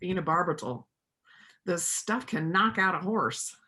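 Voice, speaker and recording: A woman in an amateur reader's theater play, acting a steadfast, principled small-town doctor who is quietly defiant. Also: fairly low pitch, lively intonation, a little slow. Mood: relieved.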